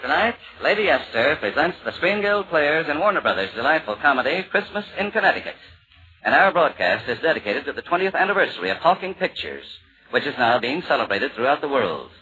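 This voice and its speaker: A man's voice: on the high side, very animated, fairly quick, bright, forceful tone. A man announcing a scripted 1940s radio program in a formal broadcast style. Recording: dull old radio broadcast, music behind.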